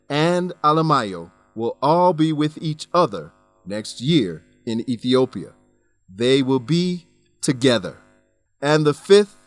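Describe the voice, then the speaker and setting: Slow, deliberate man teaching with lively intonation. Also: mid-range voice, no room sound. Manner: deliberate, didactic enunciation. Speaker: narrator and English teacher. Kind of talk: scripted educational narration.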